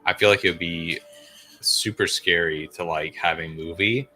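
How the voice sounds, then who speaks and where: A man's voice: low-pitched, steady tone, moderate pace, bright, forceful tone, soft background music. A man co-hosting a movie watch party with casual, slangy joking banter and some swearing.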